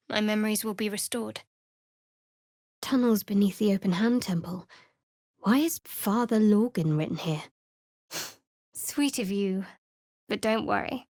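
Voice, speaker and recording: An actress playing a guarded, wry young cleric of darkness in a video game, speaking in a measured way. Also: female voice, medium pitch, dry recording, studio quality, lightly acted.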